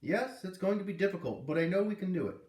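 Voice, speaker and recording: A man's voice: sits high, lively intonation, fast, darkish tone, voice pushed up. A man voicing a male schoolteacher from a children's novel, firm and explanatory. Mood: encouraging. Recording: roomy, distant microphone.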